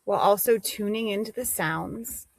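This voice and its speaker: A woman leading a guided meditation, giving gentle instructions with pauses between phrases. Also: mid-range voice, creaky, no room sound.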